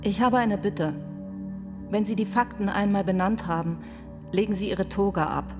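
A woman acting in a radio play as a sharp, indignant defence lawyer pleading in court, with a pointed, rhetorical manner. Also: medium pitch, moderate pace, close to an everyday voice. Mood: earnest appeal. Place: studio. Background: music.

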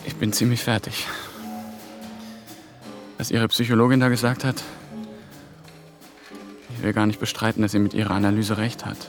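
Rattled young intern , man, defensive, clear music bed behind. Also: fairly low pitch, flat delivery, moderate pace, long pauses, close to an everyday voice. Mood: weary. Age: young adult. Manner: defensive, exasperated. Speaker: trainee.

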